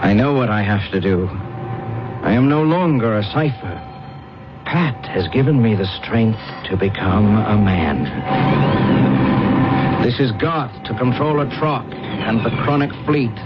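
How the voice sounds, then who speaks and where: Earnest doomed starship pilot. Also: male voice, lowish voice, expressive, unhurried, pauses often. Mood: resolute. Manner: earnest, dramatic, intense. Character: resolute doomed starship pilot, solemnly narrating his last flight. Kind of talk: 1970s-80s radio drama acting. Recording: dull lo-fi radio recording, loud music.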